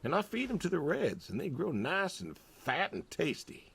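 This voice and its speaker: An actor playing a lonely, aging pig farmer, gentle and resigned, speaking hesitantly and wistfully in a naturalistic game performance. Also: male voice, fairly high pitch, wildly swooping pitch, normal speed, gravelly, slight background noise, heavily acted. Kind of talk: naturalistic narrative game voice acting.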